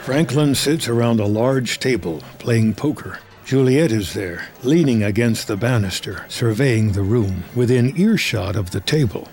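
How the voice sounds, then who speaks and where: A man narrating scripted drama action in measured, terse storytelling. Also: mid-range voice, expressive, darkish tone.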